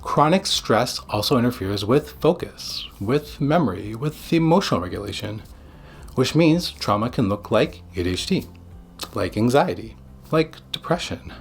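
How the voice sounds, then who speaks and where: Male psychiatrist, mid-pitched slightly husky voice, scripted educational delivery, measured, emphatic phrasing. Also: animated. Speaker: psychiatrist presenting an explainer video. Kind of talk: scripted educational narration to viewers.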